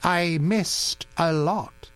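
A man reading an audiobook, voicing a polite older gentleman who speaks gently and hesitantly with regret. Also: a little high, very slow, smooth, no room sound, voice pushed up. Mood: regretful.

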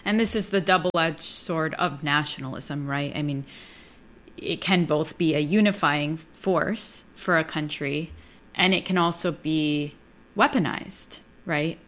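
A woman's voice: low voice, unhurried, a bit bright. A woman hosting a radio show, speaking in a conversational interview style. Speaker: host.

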